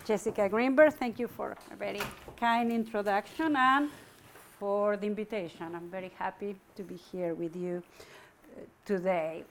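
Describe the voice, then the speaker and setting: A middle-aged woman from Argentina giving an academic lecture in a university room, thoughtful and halting, with frequent fillers. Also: medium pitch, slight background noise.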